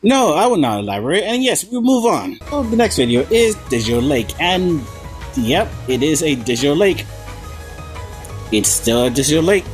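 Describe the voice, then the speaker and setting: A man's voice: on the high side, sing-song, moderate pace. A man giving informal video review commentary in a sarcastic, casually sweary manner. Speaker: video essayist. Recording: background music under voice. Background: music.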